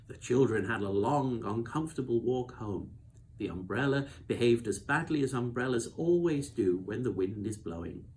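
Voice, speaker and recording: A man reading a children's novel aloud as expressive storybook narration. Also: medium pitch, slight background noise.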